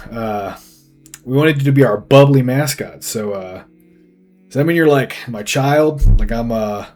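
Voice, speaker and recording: Crass dead cartoon fish, male actor: strained throat, sarcastic, halting rambles, swearing, music behind. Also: mid-range voice, lightly acted. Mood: confused, joking. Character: crass, rambling recently deceased fish, foul-mouthed and sarcastic. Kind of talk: loose improvised comic character acting. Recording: music under the voice.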